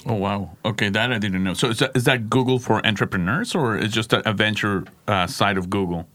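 Halting low-voiced male podcast host. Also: fairly bright tone. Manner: halting, many restarts and fillers. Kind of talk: casual interview hosting.

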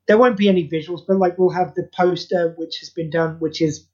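A man's voice: high-pitched, steady tone, a little fast, a little mellow, lo-fi sound. A man hosting a podcast, chatting casually and self-deprecatingly, rambling with many restarts. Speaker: podcast host and audio play creator.

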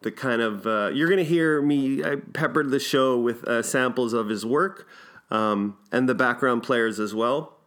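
A man's voice: mid-range voice, measured pace, a bit bright. A man hosting a podcast in a casual, informal, enthusiastic and slangy way. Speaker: podcast host.

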